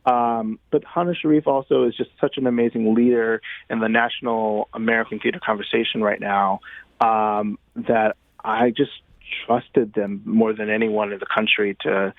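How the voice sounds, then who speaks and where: A man being interviewed as a guest playwright, talking conversationally in a thoughtful, halting way with many pauses. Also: fairly low pitch, fairly even intonation, dry recording, phone-quality sound.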